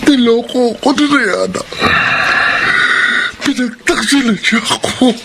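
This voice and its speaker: A man's voice: very high-pitched, slow, vocal fry, heavy background noise, full character voice. Man acting a plaintive, heartbroken jilted fiancé. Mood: heartbroken, pleading. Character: heartbroken betrayed fiancé, wounded young man. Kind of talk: Tagalog melodrama acting. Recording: loud music under the voice.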